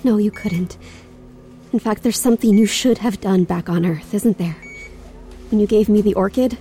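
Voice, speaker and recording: A woman's voice: medium pitch, moderate pace, warm tone, natural voice. An actress playing a tender, assured space colonist, soothing and coaxing her fiancé in an audio drama.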